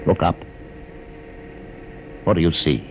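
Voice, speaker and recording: An actor playing a calm, condescending older man who holds a prisoner aboard a starship, speaking in a measured, commanding way in an old radio drama. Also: low voice. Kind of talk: 1950s radio drama acting. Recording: vintage radio broadcast with hiss.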